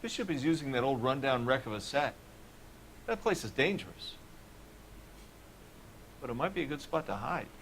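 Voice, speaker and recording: An actor playing a curt, irritable prop maker being questioned in a video game. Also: male voice, mid-range voice, animated, moderate pace, vocal fry, pauses often, a bit bright, a little hiss or hum. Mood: guarded. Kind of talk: dramatic video game acting.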